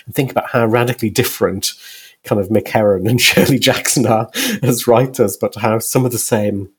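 A man's voice: on the low side, fairly even intonation, fairly quick, husky. A man interviewing a guest conversationally, asking wordy questions that circle and correct themselves. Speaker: podcast interviewer.

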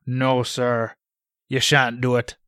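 A man's voice: mid-range voice, monotone, slow pace, sharp tone, clear, resonant tone, no echo, studio quality, pitched above the performer's own voice. A man voicing a bluff, hearty, mocking Irish country doctor with an emphatic, scolding manner in a storybook reading. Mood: scornful, insistent. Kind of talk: audiobook character voice.

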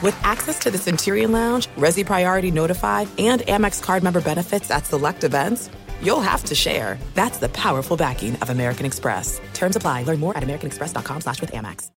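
Smooth woman ad voice over music. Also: fairly low pitch, animated, quick pace. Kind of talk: scripted commercial voice-over. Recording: commercial with clear music.

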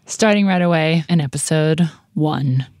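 A woman's voice: low-pitched, unhurried, a little mellow, no echo, studio quality. An American woman co-hosting a podcast in a conversational style. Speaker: podcast co-host.

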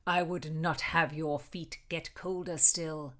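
Woman voicing a tender, lowered-voice Tudor gentleman lover. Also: steady tone, slow pace. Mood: ardent, gentle. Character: tender, ardent Tudor gentleman in love, sweet-spoken. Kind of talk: storybook character reading.

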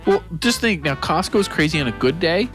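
Man, podcast co-host, lively pitch, laughs often, acts out quotes, music in background. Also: brisk. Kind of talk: casual chat.

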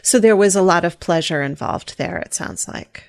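A woman interviewing a guest, asking gentle, measured questions and offering short affirmations. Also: fairly low pitch, vocal fry.

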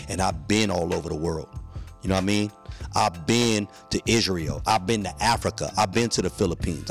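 A man, talking casually and earnestly as a podcast guest, with slang and repeated phrases for emphasis. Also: low-pitched. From San Francisco, United States. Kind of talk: casual interview storytelling.

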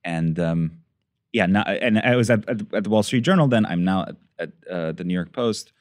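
A man in his thirties, originally from Iran, talking as a guest in a measured way and searching for words. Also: deep voice, animated, no echo. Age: 30s. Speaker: podcast guest, newspaper editor. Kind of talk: casual interview talk.

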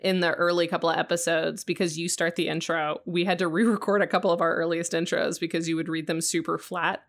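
A woman co-hosting a podcast in casual chat, quick and joking, with a deeper voice. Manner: quick, joking, animated with laughs. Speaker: podcast co-host.

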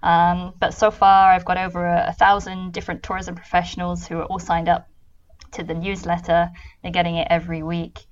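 British woman, low voice, lo-fi sound. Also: monotone, fairly quick. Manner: thoughtful, many ums and restarts. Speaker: travel consultant guest. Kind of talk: conversational interview answers.

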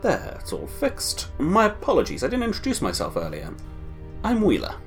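Man acting as a genteel train conductor, formal and unruffled, sweeping pitch swings, faint music underneath. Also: normal speed, gravelly, heavily acted. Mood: courteous. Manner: courteous, formal, lively rising tones. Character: polite, unflappable male conductor of a time-travelling train. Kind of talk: audio drama acting.

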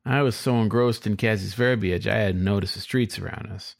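A man's voice: on the low side, fairly quick, vocal fry, dry recording, studio quality. A man reading a scripted first-person story as its narrator. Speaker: story reader.

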